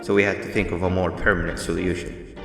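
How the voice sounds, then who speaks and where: A deep, echoing game monster. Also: male voice, level tone, gravelly. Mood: calm, instructive. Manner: measured, formal, explanatory. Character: deep-voiced monster mascot, calm, grave, instructive schemer. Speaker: game character giving instructions. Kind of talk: scripted video game dialogue. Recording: roomy reverb with music underneath.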